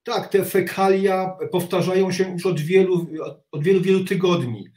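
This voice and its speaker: Man, middle-aged, higher-pitched voice, halting blunt commentary, roomy echo, video call. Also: steady tone, a little mellow. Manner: halting, with repeated words and blunt criticism. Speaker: interview guest, reserve army officer. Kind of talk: opinionated interview commentary. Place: home study with bookshelves, indoors. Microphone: video call, sitting back from the webcam.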